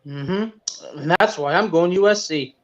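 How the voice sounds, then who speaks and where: A man co-hosting a sports podcast, chatting casually in brief, low-key replies. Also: unhurried, dull audio. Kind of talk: casual chat, making picks.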